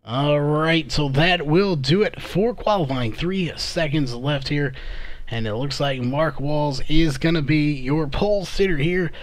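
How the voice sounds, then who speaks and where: A man calling qualifying times as a race commentator, his voice going hoarse. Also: a little high, a little slow. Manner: steady, methodical calling of lap times. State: losing his voice. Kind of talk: play-by-play commentary.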